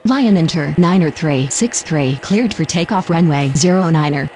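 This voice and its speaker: A woman's voice: deep voice, normal speed, a little mellow, slight background noise. A woman voicing a procedural airport radio operator in a game, using formal, clipped aviation phraseology. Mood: businesslike. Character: procedural female airport controller and pilot radio voice. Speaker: air traffic control radio voice.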